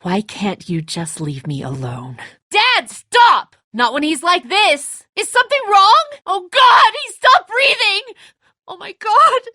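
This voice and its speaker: An actress playing a bitter, distraught, hot-tempered grown daughter in a dramatic video game, raw and confrontational. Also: female voice, extremely high pitch, very animated, takes it slowly, pushed and bright, dry recording, studio quality, full character voice. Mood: bitter anger, then panicked. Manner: raw, emotional, confrontational, sweary.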